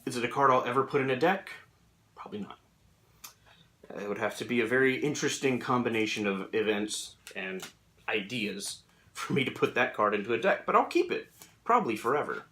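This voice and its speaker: A man's voice: mid-range voice, fairly bright tone. A young man in a room at home, talking thoughtfully about collecting cards while he sleeves them, rambling a little with plenty of fillers. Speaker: Magic: The Gathering YouTuber. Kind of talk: casual reflective monologue.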